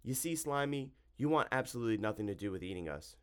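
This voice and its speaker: A man voicing a plucky, quick-thinking young male hero in a storybook, emphatic and cheeky. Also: mid-range voice, animated, clear, resonant tone, voice pushed up, natural voice. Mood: bluffing.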